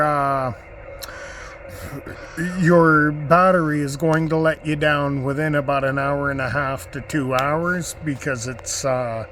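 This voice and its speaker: Slow-talking man reviewing gear, noisy background. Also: a little high, fairly bright tone. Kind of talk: unscripted product review talk.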